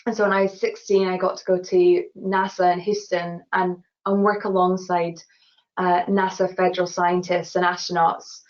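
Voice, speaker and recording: A woman's voice: lowish voice, flat delivery, normal speed. A woman with a Glasgow accent answering questions in a webinar, earnest and full of "you know" and restarts. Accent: Scottish (Glasgow). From Glasgow, Scotland. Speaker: award-winning engineer guest. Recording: dull lo-fi webinar audio.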